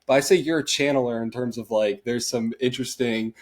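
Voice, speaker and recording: A man's voice: medium pitch, fairly even intonation, brisk. A young man hosting a podcast, talking in a loose, rambling way with lots of fillers. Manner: rambling, laid-back, lots of "like" and "uh". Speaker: podcast host. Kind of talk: casual podcast chat.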